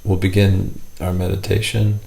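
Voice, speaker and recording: A man leading a guided meditation, speaking gently and unhurriedly in short phrases with long pauses. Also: low voice, flat delivery, creaky, rough recording.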